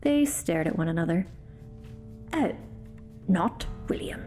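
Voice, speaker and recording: A woman's voice: fairly low pitch, animated, slow, mellow, faint music behind. A woman voicing a spoiled, greedy male dragon who whines and sulks over his gold. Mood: sly. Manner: petulant, whiny.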